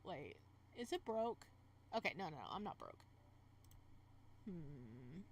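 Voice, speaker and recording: A woman doing casual, animated commentary while reading and playing through a visual novel, exclaiming and repeating her phrases. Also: low voice, slow pace, a little hiss or hum. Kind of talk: casual reaction commentary mixed with read-aloud narration.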